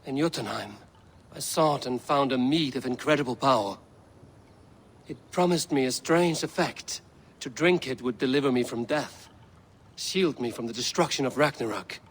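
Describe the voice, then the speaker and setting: An actor playing a stoic, commanding Viking leader in a video game, grave and measured, with some weariness. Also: male voice, medium pitch, breathy edge. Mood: awed, grave. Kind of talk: dramatic video game acting.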